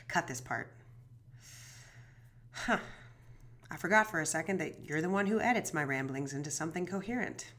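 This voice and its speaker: An actress playing a young woman true crime podcast host, talking in an anxious, rambling, swearing, self-deprecating way. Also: on the low side. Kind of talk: audio drama monologue.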